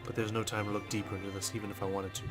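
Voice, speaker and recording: A man reading visual novel text aloud as a let's player, in a measured, understated storytelling manner with brief asides. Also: on the low side, little pitch movement. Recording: music behind the voice. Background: game music.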